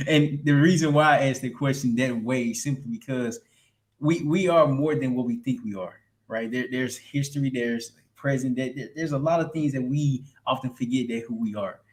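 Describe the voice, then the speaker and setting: Male podcast host, average pitch, conversational and reflective, leans on repeated phrases for emphasis. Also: normal speed. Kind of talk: conversational interviewing.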